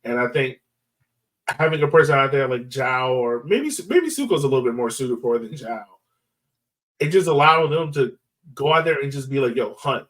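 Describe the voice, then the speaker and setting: A man's voice: mid-range voice, expressive, normal speed, a bit bright. Distant-miked man chatting casually about sports. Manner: informal, slangy phrasing. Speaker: podcast host. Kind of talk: casual sports analysis chat.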